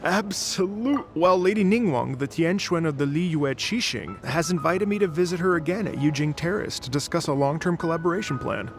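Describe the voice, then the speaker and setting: A man's voice: a little high, expressive, normal speed, few pauses. An actor voicing an earnest, effusive young male musician in a video game, speaking in a heartfelt way. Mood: hopeful. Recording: music under the voice.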